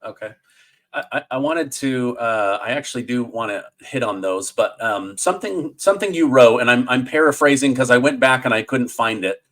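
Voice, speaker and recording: A man's voice: mid-range voice, moderate pace, a bit bright. A man hosting a podcast interview, asking his questions hesitantly with many false starts. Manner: hesitant, stacks filler words before questions. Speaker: podcast host.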